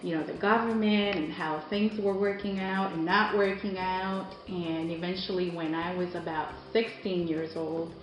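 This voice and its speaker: Low-voiced woman in a roomy recording. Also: steady tone, moderate pace, hardly any pauses. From Cuba. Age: about 40. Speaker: interviewee. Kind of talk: personal interview, recounting life story. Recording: roomy echo, music behind.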